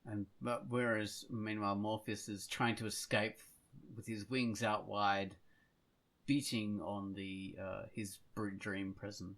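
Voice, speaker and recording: Guy podcast host, bit of fry in his voice, loose chatty narrating, hunts for words. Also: fairly low pitch, little pitch movement, unhurried, fairly bright tone. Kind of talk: casual chat and improvised scene narration.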